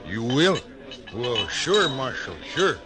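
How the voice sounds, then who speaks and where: A man playing a rough, nervous frontier hide skinner in an old radio western, with a folksy drawl. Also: mid-range voice, sing-song, slow. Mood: wary. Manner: folksy drawl, eager and wary. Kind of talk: 1950s radio western acting.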